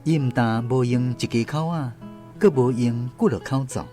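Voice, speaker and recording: A man's voice: medium pitch, moderate pace, close to an everyday voice. A man playing a grown child looking back fondly, narrating a literary radio drama with a Taiwanese accent. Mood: tender admiration. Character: grown child fondly remembering a hardworking mother. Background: soft guitar music.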